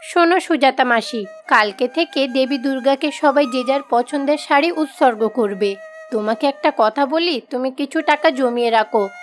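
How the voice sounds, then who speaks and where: Casting: actress as helpful neighbour woman, cartoon folktale, high-pitched, patiently instructive. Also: moderate pace, faint music behind, lightly acted. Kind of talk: Bengali fairy-tale cartoon dubbing.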